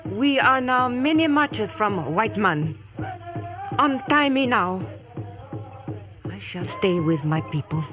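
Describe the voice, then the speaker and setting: Proud young chief's daughter, music behind. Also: female voice, mid-range voice, lively intonation, slow, pauses often. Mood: defiant. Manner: solemn broken English, short declarative phrases. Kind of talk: 1950s radio drama acting. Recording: 1950s radio drama recording.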